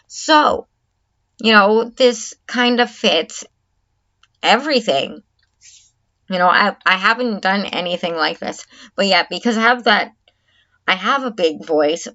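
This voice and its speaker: A woman's voice: fairly low pitch, a bit bright. A woman vlogger talking candidly to camera, earnest and reflective, often stopping and restarting her sentences. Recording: dull lo-fi recording.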